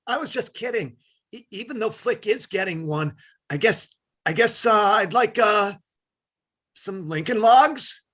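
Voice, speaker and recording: A performer plays a young schoolboy in a staged comedy reading. He sounds sharp and husky, an eager schemer who blurts and wheedles. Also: mid-range voice, lively intonation, slow pace. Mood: backpedalling. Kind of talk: readers theatre comedy reading.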